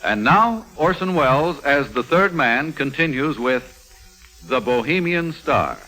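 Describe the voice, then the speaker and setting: A man's voice: on the high side, animated, a little slow, rough recording. A man announcing a programme in 1950s radio style. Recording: hissy old radio recording, loud music. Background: music.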